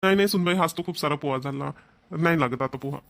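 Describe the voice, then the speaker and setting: A man playing a kindly older father-in-law in a family cartoon, gently advising, with a Vidarbha Marathi accent. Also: on the high side, fast, creaky. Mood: appreciative. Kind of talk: amateur family cartoon voice acting.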